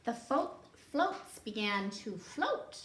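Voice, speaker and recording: A woman reading a picture book aloud to children in an expressive, playful way, with gasps and asides. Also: a little high, slow pace. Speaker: library storytime reader. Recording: roomy echo, faint background noise.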